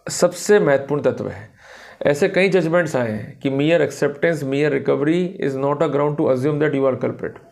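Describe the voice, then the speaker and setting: A man's voice: on the high side, gravelly, darkish tone. A man lecturing on law in a didactic, emphatic way, explaining points to viewers and slipping into formal English phrases.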